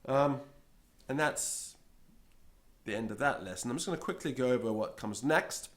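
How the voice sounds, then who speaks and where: A man's voice: medium pitch, expressive, moderate pace. A man teaching a Latin lesson, who says each Latin word, repeats it and then explains it in English. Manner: acts out little scenes in a raised voice. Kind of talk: slow spoken language lesson.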